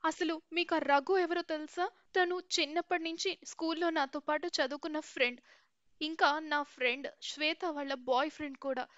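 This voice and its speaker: An earnest young bride from a cartoon story, voiced by a woman. Her voice is high and pleading. The voice-over sounds dry and slightly dull. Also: steady tone, fairly quick, fairly bright tone, no echo. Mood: indignant.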